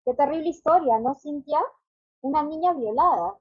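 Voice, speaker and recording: A woman's voice: sits high, normal speed, music in the background. A woman host chatting casually with her co-host in a muffled, lo-fi recording. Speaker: show host. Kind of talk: casual co-hosted chat. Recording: dull, lo-fi audio.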